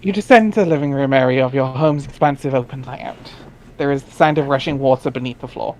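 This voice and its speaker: A man's voice: mid-range voice, quick pace, darkish tone, a little hiss or hum. A man reading narration aloud in a dry, wry way, dropping in casual asides. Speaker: reader in a group dramatic reading.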